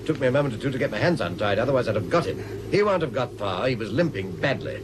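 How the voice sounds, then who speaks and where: An actor playing a brisk, plucky, unflappable special agent in the style of a 1940s radio adventure serial. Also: male voice, medium pitch, sing-song, background noise, lightly acted. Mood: cool, determined.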